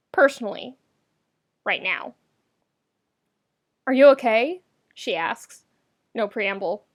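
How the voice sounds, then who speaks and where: A woman with a fairly high voice reads fiction aloud as an audiobook narrator. Her delivery is wry and emphatic, leaning on words for effect. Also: fairly bright tone. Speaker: podfic reader.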